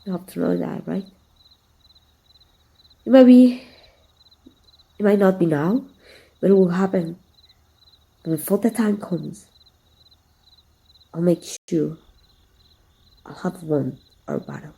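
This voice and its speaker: A woman's voice: fairly low pitch, slow, creaky, long pauses, mellow. A woman playing a flirtatious, confident villain who is secretly fond of her rival, in an intimate, teasing ASMR roleplay. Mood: confident, possessive. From Mexico. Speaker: amateur voice actor.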